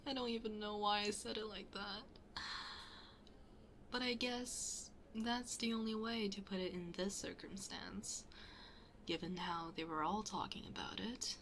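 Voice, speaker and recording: A woman's voice: medium pitch, steady tone, measured pace, fairly bright tone, a little hiss or hum. A woman playing a shy, flustered best friend hiding a crush in an intimate ASMR roleplay, hesitant and often dropping to a near-whisper. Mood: embarrassed, confessing.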